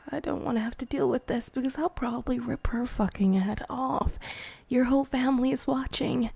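A woman voicing a possessive, menacing young vampire bride in an intimate, teasing ASMR roleplay, breathy and often whispering. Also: a little high, mellow, no room sound, heavily acted. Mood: irritated, jealous. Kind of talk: ASMR girlfriend roleplay, improvised.

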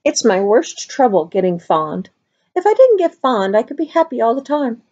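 A woman doing a jolly, fussy old seer, high and chirpy. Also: sing-song, normal speed, dark tone, breathy edge, highs cut off. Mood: wistful. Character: jolly, fond-hearted elderly female seer.

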